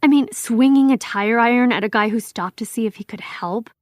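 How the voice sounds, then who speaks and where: A woman playing a missing woman's protective, bitter best friend, talking in a casual, halting, emphatic interview style. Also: on the high side, no room sound, clean studio sound, natural voice. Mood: defensive, insistent. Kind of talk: acted documentary interview, conversational.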